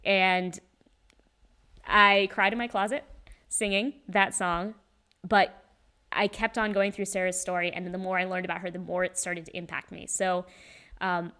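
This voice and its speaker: Woman, casual and talkative, smooth resonant tone, holding a handheld mic. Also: lowish voice, level tone, measured pace, pauses often, sharp tone. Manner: chatty and rambling, many ums, laughs often. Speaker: singer and voice actor in a fan musical. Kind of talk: casual livestream Q&A chat. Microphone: handheld microphone.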